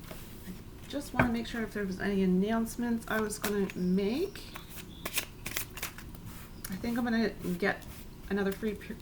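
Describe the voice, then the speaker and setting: A woman with a raspy voice today, giving a chatty, soothing tarot reading to her viewers. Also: medium pitch, a little hiss or hum, low-quality recording. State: raspy, clearing throat. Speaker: tarot reader. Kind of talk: casual spiritual reading.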